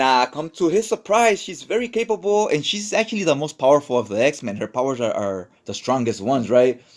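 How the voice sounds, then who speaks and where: Casual man recapping a story. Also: mid-range voice. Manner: informal, colloquial, tags statements with "right?". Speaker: comic book recap narrator.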